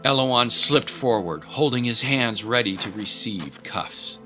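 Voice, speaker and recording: A man's voice: mid-range voice, unhurried, sharp tone. A man giving grave, literary, scripted narration for a dramatic story. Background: music bed.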